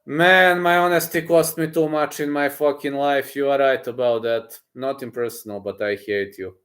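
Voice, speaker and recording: A man, a streamer chatting casually with his viewers, boastful and excitable, often repeating himself. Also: mid-range voice, moderate pace. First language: Serbian. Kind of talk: casual livestream chat, reading messages aloud.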